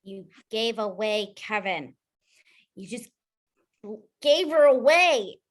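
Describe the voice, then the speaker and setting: Woman as an earnest, high-pitched upset young boy. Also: lively intonation, slow. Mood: hurt. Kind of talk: table read acting of animated film script.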